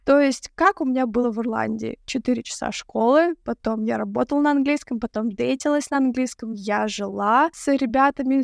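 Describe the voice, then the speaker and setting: A woman's voice: on the high side, gravelly, no room sound, clean studio sound. A young woman hosting a casual podcast as an English teacher, chatty and mixing English words into her talk.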